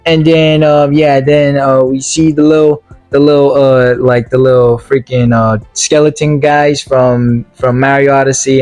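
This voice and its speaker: A man giving a casual, opinionated movie review as a video commentator, in a halting, repetitive, slangy way. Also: mid-range voice, a little mellow.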